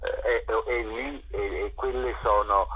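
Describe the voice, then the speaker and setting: A man answering interview questions as a festival curator, unscripted and reflective, often pausing to search for words. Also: on the low side, fairly even intonation, background noise. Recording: dull, lo-fi sound.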